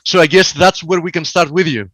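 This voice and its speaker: A man's voice: on the high side, a little fast, a bit bright, no room sound, low-quality recording. Hesitant co-host man, dry sound. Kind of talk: casual webinar chat.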